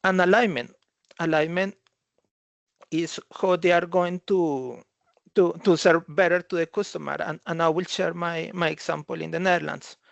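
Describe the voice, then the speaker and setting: Halting male tech presenter, gravelly voice. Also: on the high side, a bit bright, no echo, muffled recording. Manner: halting, repeats and rephrases phrases. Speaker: consultant presenting at a conference. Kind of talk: slide presentation talk.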